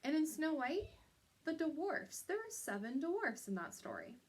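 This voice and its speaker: A woman with a somewhat high voice speaks as a teacher to young pupils. She explains in an instructional way, point by point, and often adds short tag questions to check understanding. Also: huge pitch swings, unhurried.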